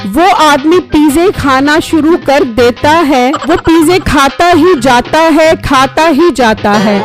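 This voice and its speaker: A woman reading scripted narration for a children's moral story as its storyteller. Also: high voice.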